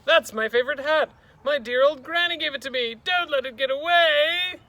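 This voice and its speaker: A man voicing a stern, bossy, monstrous female schoolteacher in a booming, formal manner for a storybook. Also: moderate pace, gravelly, sharp tone. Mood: distressed, gushing.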